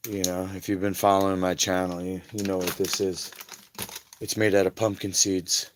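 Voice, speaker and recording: A hoarse man talking casually in a slow, rambling health vlog, often trailing off quietly. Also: sits low, flat delivery, a little hiss or hum. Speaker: health vlogger.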